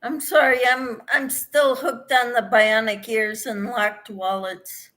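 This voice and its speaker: A middle-aged woman hosts a relaxed live chat, taking her time over every sentence and reading out viewer comments. Her voice sits a little high and runs fairly even, and she laughs easily between remarks. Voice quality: speaks in a monotone, as her husband says. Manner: slow, chatty, laughs often. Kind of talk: casual livestream chat.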